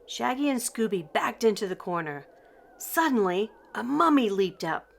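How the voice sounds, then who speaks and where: An older woman reading a children's picture book aloud in an expressive, deliberate storytelling manner. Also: fairly high pitch, creaky. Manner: slow, deliberate, animated delivery for children. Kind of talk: expressive scripted read-aloud narration.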